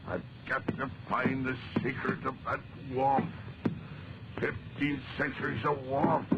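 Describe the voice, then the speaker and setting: An actor playing an obsessed, feverish man in a 1940s radio horror play, halting and repeating his words. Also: medium pitch, little pitch movement, muffled recording, over loud music. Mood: agitated. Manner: halting, muttering, repeats words, bursts into defiant shouting. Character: obsessed, feverish man chiselling at an ancient statue. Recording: noisy band-limited 1940s radio recording.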